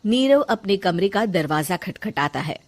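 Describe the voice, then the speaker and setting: A woman narrating a scripted story for an animated moral tale. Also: medium pitch, unhurried.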